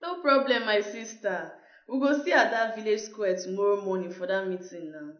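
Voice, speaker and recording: A woman's voice: medium pitch, normal speed, close to an everyday voice. A woman with a Nigerian accent playing a young village woman in a Pidgin radio drama. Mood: teasing. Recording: muffled, roomy, music behind.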